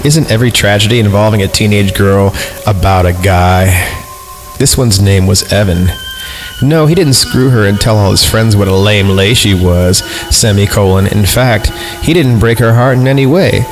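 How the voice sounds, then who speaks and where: A man's voice: on the low side, normal speed, rough recording. A man hosting a podcast, reading a story in a mock-serious manner and dropping in joking asides. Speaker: podcast host. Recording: music playing behind the voice. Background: background music.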